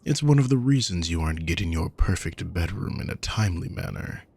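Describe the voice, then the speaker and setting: A man performs an aristocratic male villain in an intimate roleplay audio. The voice sits very deep, with a heavy creak and a raspy, breathy edge. He is possessive and quietly menacing, addressing the listener with condescending, darkly sardonic courtesy. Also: expressive, fairly quick, heavily acted. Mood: coldly sardonic.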